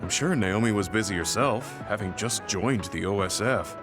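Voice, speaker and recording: A kind, weary veteran soldier performed by a male actor for a video game. His voice sits on the low side and he speaks in measured, reflective phrases that carry long guilt. Prominent music plays behind him. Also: natural voice. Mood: gentle, reflective. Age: middle-aged. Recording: studio voice under loud game music.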